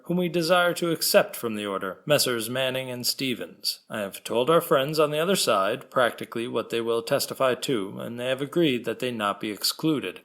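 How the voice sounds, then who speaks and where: A government prosecutor, voiced by a man who lays out his case on procedure in a formal, reasoned way. His voice sits at an ordinary pitch. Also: a bit bright. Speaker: lawyer. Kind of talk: dramatic reading of a trial transcript.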